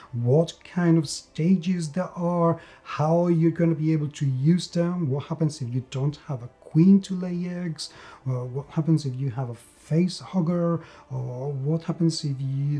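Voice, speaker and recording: A man with a fairly high yet very mellow, muted voice talks through an unscripted game review. He is enthusiastic and emphatic, often doubling words for stress. Faint music plays behind him.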